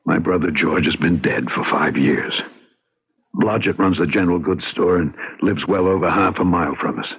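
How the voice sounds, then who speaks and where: A man playing a grave, haunted middle-aged husband in an old-time radio drama, deliberate, intense and pleading. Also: full character voice. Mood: earnest. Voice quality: husky, deep. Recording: muffled old radio recording.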